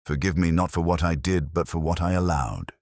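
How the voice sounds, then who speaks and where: A man's voice: low voice, brisk, darkish tone, no room sound, studio quality. A man playing a melancholy older English police inspector, narrating an audio detective drama in solemn, reflective, literary phrasing. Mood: hushed unease.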